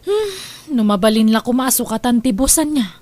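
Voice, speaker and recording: Lady acting as a filipina work buddy grumbling in a radio drama, chatty, voice a bit high. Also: big pitch swings, fairly quick, darkish tone, no room sound. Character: grumbling female coworker friend working abroad.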